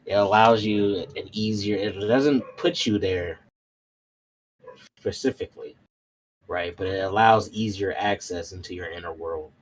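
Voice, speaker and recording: A man's voice: fairly low pitch, measured pace, slight background noise, low-quality recording. A man playing a tabletop roleplay, improvising casually in a hesitant, meandering way. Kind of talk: casual in-character improvised chat.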